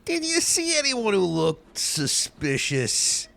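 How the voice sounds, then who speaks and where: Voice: mid-range voice, wildly swooping pitch, takes it slowly, vocal fry, fairly bright tone, exaggerated voice. An actor playing a middle-aged, pedantic police lieutenant questioning someone in an audio drama. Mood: probing, insistent.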